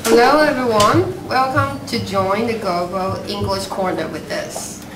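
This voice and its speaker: A woman co-hosting a student English-language event, presenting in a bright, playful way. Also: mid-range voice, a little slow. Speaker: co-host of a student English corner. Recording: distant, echoey, noisy room.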